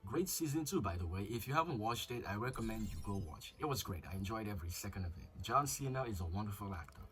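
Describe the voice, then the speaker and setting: A young man talks his way through a mobile fighting game, chatty and a little crude as he thinks aloud. His voice sits slightly low and carries a touch of flu. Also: lively intonation, fast talker, fairly bright tone, soft background music. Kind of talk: casual gameplay commentary.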